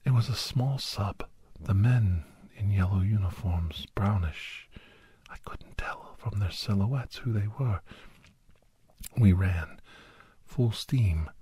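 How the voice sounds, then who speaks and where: A man narrating a suspenseful first-person ASMR story in a hushed, half-whispered voice with dramatic pauses. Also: lowish voice, slightly rough, no echo. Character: doctor recounting a monster-hunting adventure, grave and awed.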